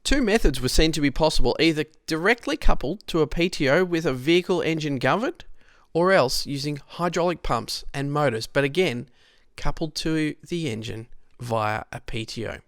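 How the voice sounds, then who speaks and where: An Australian man reading a scripted historical narration in a measured, explanatory way. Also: on the high side, animated, no room sound, studio quality.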